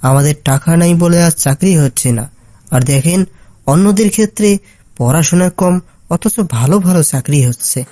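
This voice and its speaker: A man acts out a downhearted young villager from a cartoon folk tale. His voice is fairly high and soft-edged, with an earnest, plaintive way of talking. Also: fairly quick, close to an everyday voice. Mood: dejected. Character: Rafiq, dejected educated jobless village young man.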